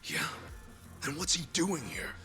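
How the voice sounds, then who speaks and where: Game lead: an earnest scrappy hero, high voice, lively swings, loud music behind. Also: male voice, unhurried. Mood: wary. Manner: expressive, urgent, colloquial. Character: earnest, scrappy hero rallying his friends, man. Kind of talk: video game cutscene acting.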